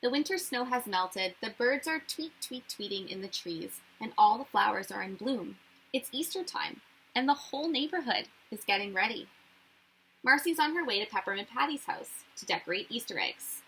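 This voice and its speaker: A woman reading a picture book aloud to children in an expressive narrating style. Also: fairly high pitch, moderate pace, slightly rough, low-quality recording. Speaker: children's story reader.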